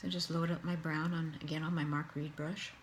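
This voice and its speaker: A woman's voice: low voice, level tone, fairly quick, a little hiss or hum. A Canadian woman with a Canadian accent, chatting casually and thinking aloud as she demonstrates face painting on herself. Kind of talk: casual tutorial narration while painting herself.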